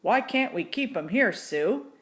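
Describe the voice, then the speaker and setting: Woman playing a brisk, proud older husband. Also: on the high side, expressive. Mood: eager. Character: older married man, gruff but newly cheerful, proud.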